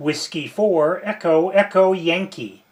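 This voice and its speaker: A man working a ham radio contact, slowly spelling his call sign in phonetics. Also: high voice, noisy background. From United States.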